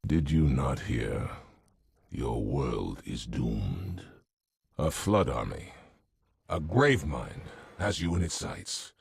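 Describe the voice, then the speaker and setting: Imperious alien warrior shipmaster, extremely deep, husky, stern formal delivery, game cutscene. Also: male voice, very animated, takes it slowly, no echo, clean studio sound, exaggerated voice. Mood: scornful. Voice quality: gravelly, rough. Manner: grave, formal, commanding. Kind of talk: dramatic video game acting.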